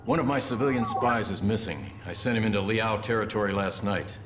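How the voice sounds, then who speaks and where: An actor playing a hard, suspicious army colonel in a game briefing, speaking in curt, authoritative orders. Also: male voice, lowish voice, a little fast, echoey room, a crowd in the background, lightly acted. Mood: curt, scheming. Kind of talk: game mission briefing acting.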